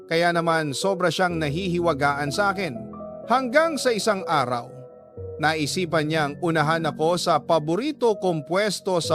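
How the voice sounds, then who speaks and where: A woman's voice: fairly low pitch, a bit bright. An actress voicing a reserved young Filipino woman, telling her love story in a conversational radio drama style. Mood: confiding. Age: young adult. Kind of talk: first-person radio drama narration. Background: music.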